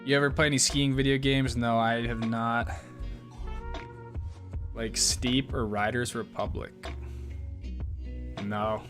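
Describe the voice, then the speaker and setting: Young male streamer, casual and easygoing, mid-pitch, faint music behind. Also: little pitch movement, takes it slowly, long pauses, fairly bright tone. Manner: laid-back, laughs often, swears casually. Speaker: livestream host reading chat. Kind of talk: casual live stream chat.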